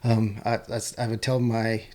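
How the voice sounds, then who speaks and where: A man hosting an interview podcast, speaking in a halting way, often restarting and repeating words. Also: lowish voice, flat delivery, darkish tone. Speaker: podcast host. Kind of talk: conversational interview.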